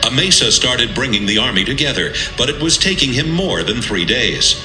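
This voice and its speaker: A man narrating scripture from a script in a measured, dramatic storytelling manner. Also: medium pitch, animated. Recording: echoey, music bed behind.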